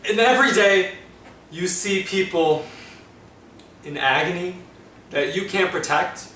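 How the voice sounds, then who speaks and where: An agonized, centuries-old war survivor, acted by a man speaks in dramatic broken phrases. The voice is high-pitched. This fan-film monologue sounds very echoey and distant from the microphone. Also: sharp tone, slight background noise. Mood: anguished. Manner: anguished, pausing dramatically between short phrases. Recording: echoey room, far from mic, faint ambience.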